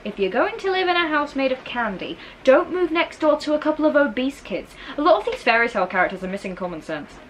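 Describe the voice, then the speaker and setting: A woman's voice: high voice, big pitch swings, quick pace, hardly any pauses, fairly bright tone, slight background noise, pitched above the performer's own voice. A woman reading a storybook, voicing a cheeky eleven-year-old boy who argues with wry smugness. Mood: smug, wry. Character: cheeky, smug eleven-year-old schoolboy twin brother. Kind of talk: children's storybook character reading.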